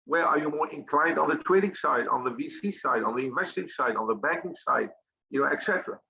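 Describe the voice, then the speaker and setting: A man's voice: fairly high pitch, expressive, fast talker, vocal fry. A middle-aged man moderating a webinar panel, speaking hesitantly with many fillers. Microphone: webinar call microphone. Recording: dull lo-fi call audio with faint hiss.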